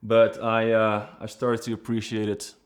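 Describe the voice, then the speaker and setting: A man from Belgium, an engineer, telling his own story in a relaxed, reflective way with fillers and restarts. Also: fairly low pitch, a little slow, smooth. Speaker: trigger design engineer. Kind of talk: documentary-style personal narration. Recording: music bed underneath. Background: guitar music.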